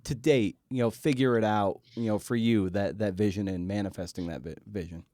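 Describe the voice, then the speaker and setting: A man hosting a casual interview, feeling his way haltingly into long, tentative questions. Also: fairly low pitch, dark tone, no room sound, studio quality. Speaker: podcast host, interviewer.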